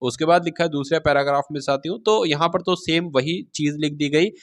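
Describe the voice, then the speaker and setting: Higher-pitched man explaining notices. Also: fairly quick. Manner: didactic, repeats and paraphrases, checks in with listeners. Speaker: exam-prep instructor and channel host. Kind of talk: explanatory notice read-through for students.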